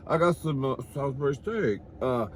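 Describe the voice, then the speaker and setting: A man's voice: medium pitch, expressive, moderate pace, slight background noise. A man hosting a comedy mukbang, chatting casually to the camera in a campy, sassy, bawdy manner as he eats. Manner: campy and sassy, calls listeners "girl" and "honey"; bawdy, swears freely. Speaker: host of a comedy mukbang vlog. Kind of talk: casual comic chat to camera while eating.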